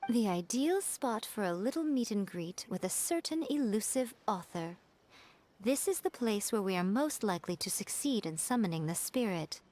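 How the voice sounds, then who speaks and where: A woman's voice: mid-range voice, animated, darkish tone, slight background noise, close to an everyday voice. A woman playing a sly, self-assured shrine maiden in a video game, poised and teasing in manner. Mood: amused, teasing. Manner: teasing, poised, unhurried explaining. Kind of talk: video game character acting.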